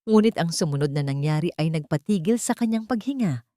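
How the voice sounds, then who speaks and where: Female narrator for dramatic romance fiction, lower register, solemn and measured, dry close recording. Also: lively intonation, warm tone, no room sound. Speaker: story narrator. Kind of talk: dramatic scripted narration of a love story.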